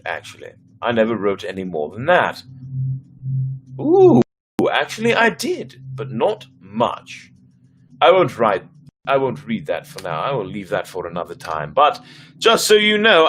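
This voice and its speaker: Animated man hosting a casual livestream. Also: medium pitch, a little hiss or hum, poor audio. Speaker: host and author reading own story to a live stream.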